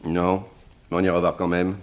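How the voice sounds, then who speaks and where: An actor playing a curt, sceptical, authoritative police inspector in a 1960s French radio drama. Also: male voice, deep voice, completely flat delivery, normal speed, natural voice. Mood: commanding.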